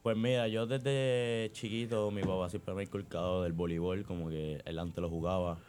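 A man's voice: low voice, steady tone, normal speed, darkish tone, slight background noise. A young man giving short, casual answers as a guest in an interview, sometimes repeating his phrases. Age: young adult. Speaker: volleyball player, interview guest. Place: radio booth.

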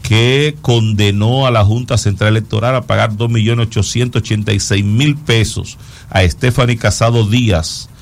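A man's voice: on the low side, fairly even intonation, moderate pace, gravelly. A man with a Dominican accent giving conversational news commentary as a talk show host, explaining things and repeating words for emphasis.

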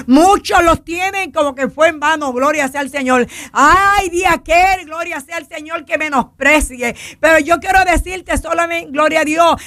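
A middle-aged woman with a Puerto Rican accent preaching an impassioned evangelical sermon, often repeating praise between phrases and sometimes shouting. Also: high-pitched, no echo, studio quality.

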